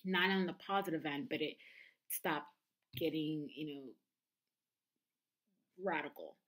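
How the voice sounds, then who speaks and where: A woman in her thirties talks candidly to her camera at home, pausing often with ums and restarting sentences. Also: deep voice, a bit bright, poor audio. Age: 30s. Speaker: vlogger. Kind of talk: personal confessional vlog.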